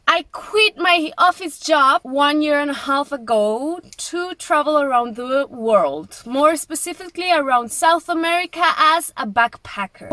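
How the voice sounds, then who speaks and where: A woman's voice: high-pitched, bright, forceful tone, no room sound. A young woman from Colombia with a Colombian accent and Spanish as her first language, talking casually to camera as a travel vlogger. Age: young adult.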